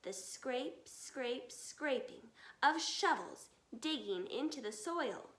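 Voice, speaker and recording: A woman reads a children's story aloud with expression. Her voice is high and bright, and she takes her time over the words. The room adds a noticeable echo. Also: animated, slow. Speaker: storybook reader. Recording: echoey, reverberant room.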